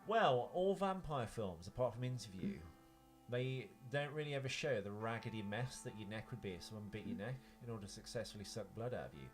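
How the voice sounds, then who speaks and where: A man's voice: on the low side, lively intonation, a little fast, faint music behind. A man co-hosting a podcast, chatting casually and giving opinionated, rambling takes on films. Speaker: podcast co-host. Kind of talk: casual banter and film commentary.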